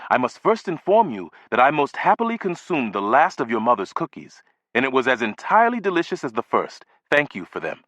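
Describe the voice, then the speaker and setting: A man voicing a courteous, stiffly formal older man who speaks carefully and gravely. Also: medium pitch, huge pitch swings, fairly quick, fairly bright tone, dry recording, pitched above the performer's own voice. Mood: apologetic.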